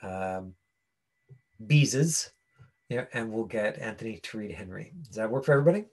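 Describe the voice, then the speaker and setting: Husky-voiced man coaching an acting class. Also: lowish voice, lively intonation. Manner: halting, rambling explanations full of tangents. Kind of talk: informal online lesson, explaining off the cuff.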